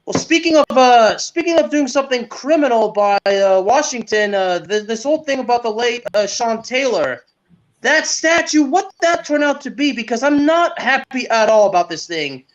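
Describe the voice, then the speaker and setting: A man with a notably high voice talks football as a podcast co-host, heard through dull, lo-fi audio. He is opinionated and emphatic, repeating key words to drive a point home. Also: animated, moderate pace. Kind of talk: opinionated casual football commentary.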